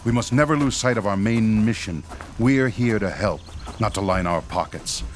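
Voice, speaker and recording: A man's voice: lowish voice, moderate pace, a little hiss or hum, natural voice. An actor playing a principled ghost hunter in his fifties, formal, professorial and a little patronizing, in a horror radio drama. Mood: solemn, firm. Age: 50s.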